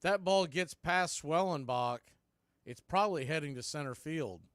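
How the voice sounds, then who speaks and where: A man calling live baseball play-by-play in an excitable, folksy radio style. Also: a little high, moderate pace, clear, resonant tone, dry recording, studio quality. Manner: excitable, folksy exclamations on big plays.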